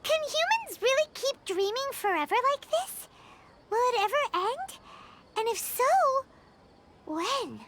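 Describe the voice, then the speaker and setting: A woman voicing a tiny, excitable, childlike fairy companion in a video game, squeaky and exclamatory. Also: a little slow, very noisy, exaggerated voice. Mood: worried. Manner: speaks of self in third person, exclamatory.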